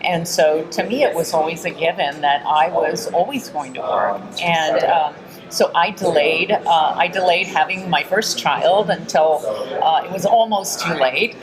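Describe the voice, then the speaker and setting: A woman's voice: sits low, measured pace, low-quality recording. A middle-aged woman from Texas giving earnest, reflective answers as an interview guest. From Texas, United States. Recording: busy crowd chatter behind. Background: crowd murmur.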